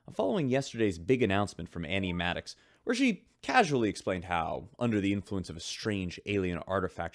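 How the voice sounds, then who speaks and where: Gossipy spaceship radio host, low and animated, dry and close. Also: male voice, dry recording. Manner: chatty, gossipy announcer delivery. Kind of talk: radio drama acting as a broadcaster.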